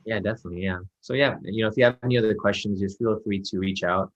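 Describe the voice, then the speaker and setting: A man mentoring a student over a video call in a relaxed, reassuring way with many fillers. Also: fairly low pitch, quick pace, dull audio. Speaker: trading mentor. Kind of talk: casual one-on-one mentoring call.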